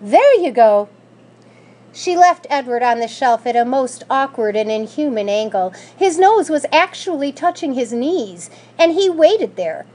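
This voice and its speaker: A woman reading a children's story aloud in an expressive, scripted narrating style. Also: high voice. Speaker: school staff member reading aloud.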